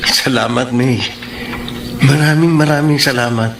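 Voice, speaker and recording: An actor playing an earnest, lovestruck young suitor in Filipino radio drama style. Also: male voice, medium pitch, steady tone, a little slow, vocal fry, noisy background. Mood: eager, smitten. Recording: roomy studio echo, music underneath.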